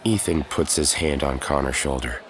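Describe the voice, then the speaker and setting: Very deep-voiced male drama narrator. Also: moderate pace, fairly bright tone, some chatter behind, slight background noise. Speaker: narrator and lead character. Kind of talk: scripted audio drama narration. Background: music.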